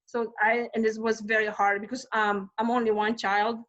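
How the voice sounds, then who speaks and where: A woman's voice: a little high, flat delivery, normal speed, fairly bright tone, dull audio. A woman from Lithuania with a Lithuanian accent, a stress management coach chatting as a podcast guest, talking freely with many fillers, restarts and small laughs. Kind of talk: casual conversational interview.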